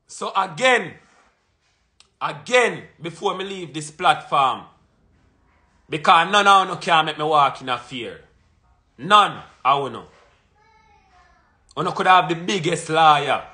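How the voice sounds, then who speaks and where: Jamaican man in his thirties, home phone vlog, high forceful voice, confrontational. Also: expressive, slow, long pauses. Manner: emphatic and confrontational, punches out lists and repeats phrases. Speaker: vlogger. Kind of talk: fiery monologue to camera. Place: small indoor room at home. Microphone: phone camera at arm's length.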